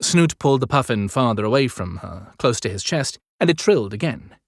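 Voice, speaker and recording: A man's voice: medium pitch, lively intonation, fairly quick, gravelly, no room sound, clean studio sound. A man narrating scripted fiction as an audiobook storyteller.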